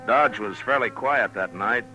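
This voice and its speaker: Deep-voiced, steady frontier marshal. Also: male voice, unhurried, highs cut off, soft background music. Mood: somber, reflective. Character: steady, weary frontier marshal telling his story. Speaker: lead and narrator. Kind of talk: 1950s radio western narration and acting.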